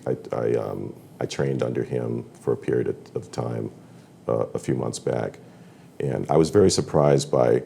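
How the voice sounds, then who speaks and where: Very deep, gravelly-voiced middle-aged man. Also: warm tone, a little hiss or hum. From Massachusetts. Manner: halting, thoughtful, many restarts. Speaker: podcast guest, voiceover artist. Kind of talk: casual conversation.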